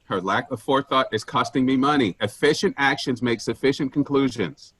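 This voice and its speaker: A man playing a pushy, penny-pinching office boss in a staged dark comedy, blunt and self-important as he lectures. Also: mid-range voice, a little fast, breathy edge, no room sound. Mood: lecturing.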